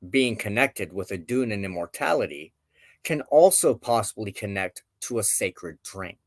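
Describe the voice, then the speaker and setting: A man hosting a show, giving reflective commentary on folklore and myth with deliberate, emphatic phrasing. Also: lowish voice, no echo. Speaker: show host.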